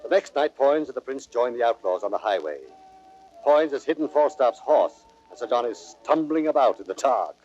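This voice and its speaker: A man's voice: mid-range voice, expressive, moderate pace, a little mellow, muffled recording, soft background music, close to an everyday voice. A man giving scripted dramatic narration as the narrator of a play.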